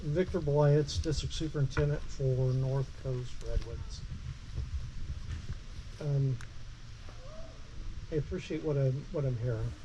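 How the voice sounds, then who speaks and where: A man who is a state parks district superintendent, speaking on a panel in a measured, personal way into a handheld mic. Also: fairly even intonation, warm tone, a little hiss or hum, poor audio. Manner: says he has a low voice. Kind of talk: panel remarks at a public meeting. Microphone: handheld microphone.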